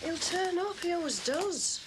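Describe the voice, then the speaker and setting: An actress playing a calm wife who reassures her worried husband. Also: extremely high pitch, a little slow. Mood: reassuring. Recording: background noise in a drama scene.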